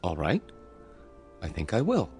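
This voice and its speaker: A man's voice: low voice, huge pitch swings, unhurried, gravelly, darkish tone, soft background music, exaggerated voice. An actor playing a timid, earnest male loner in a radio drama, polite, hesitant and prone to stammering.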